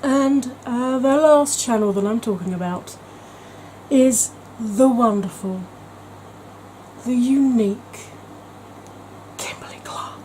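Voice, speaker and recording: A woman's voice: on the high side, slow pace, pauses often, dark tone, slight background noise, low-quality recording. A woman talking in a chatty, gushing way as a beauty vlogger, with frequent ums. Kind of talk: casual vlog chat.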